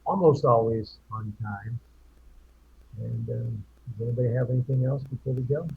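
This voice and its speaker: Host man, very husky voice, dull lo-fi sound, rambling chat. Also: medium pitch, fairly even intonation, moderate pace. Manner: rambling, many restarts and "you know". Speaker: podcast host. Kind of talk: casual group chat.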